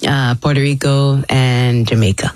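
Woman, 30s, from the Philippines, very low voice, chatty interview guest, dry close sound. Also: steady tone, a little mellow, no room sound. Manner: chatty, with fillers and quick laughs. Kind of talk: casual interview chat.

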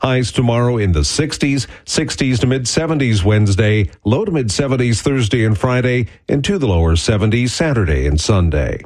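A man reading a radio weather forecast in a steady, matter-of-fact way. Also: medium pitch, moderate pace, few pauses. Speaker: weather announcer.

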